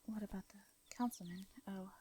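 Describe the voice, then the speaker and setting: A woman with an English accent voicing a gentle, anxious, centuries-old vampire in an intimate fantasy audio roleplay, speaking softly and breathily, with hesitant, formal wording. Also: medium pitch, steady tone, a little fast, husky, lightly acted. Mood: uneasy, defensive. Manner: hesitant, stammering, formal old-fashioned speech.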